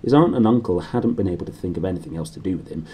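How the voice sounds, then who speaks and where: Male British storybook reader, lowish mellow voice, animated delivery. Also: rapid-fire, husky. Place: small room indoors.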